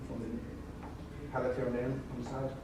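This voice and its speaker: A man's voice: mid-range voice, completely flat delivery, a little slow. A man whose first language is Swedish presents a technical demo at a conference, walking through code step by step in an informal way with frequent restarts. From Sweden. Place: large conference room. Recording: echoey hall, voice far from mic, noisy.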